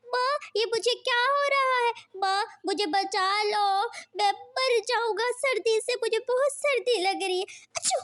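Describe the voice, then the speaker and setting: A very high-pitched cartoon boy bird, acted by a woman. He is stubborn and boastful, with a theatrical, whining way of talking. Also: unhurried, a bit bright. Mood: whining, suffering.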